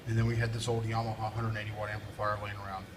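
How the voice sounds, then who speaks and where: A man's voice: lowish voice, level tone, racing. A man giving a casual, off-the-cuff equipment walkthrough in an informal, explanatory way, recorded indoors on a handheld camera. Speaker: video presenter, DJ and PA technician. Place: indoors, brick-walled workshop building. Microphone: handheld camera or phone, speaker off screen. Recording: noisy handheld camera audio with room ambience.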